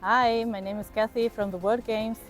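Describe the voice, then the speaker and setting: A woman's voice: a little high, fairly even intonation, moderate pace. A German woman born in Spain, introducing herself and sharing a fun fact in a short video. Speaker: sports organization staff member. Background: faint music.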